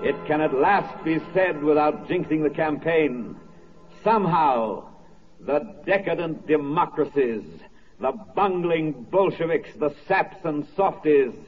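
Solemn rousing wartime radio narrator, big oratory, old muffled recording, music behind. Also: male voice, fairly high pitch, lively intonation, measured pace. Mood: rousing, proud. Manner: declamatory, oratorical. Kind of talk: 1940s radio drama narration.

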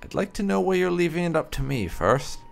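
A man's voice: on the high side, very animated, brisk, creaky, slight background noise. A man giving casual, musing gameplay commentary and reading out dialogue choices. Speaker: let's play host.

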